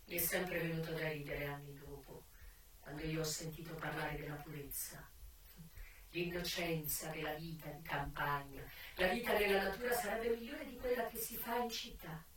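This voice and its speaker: An actress playing a frank, unrepentant brothel madam who tells her life story in an earthy, wry, deliberate theatrical monologue. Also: fairly low pitch, a bit bright, slight background noise, close to an everyday voice. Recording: echoey, distant voice with music.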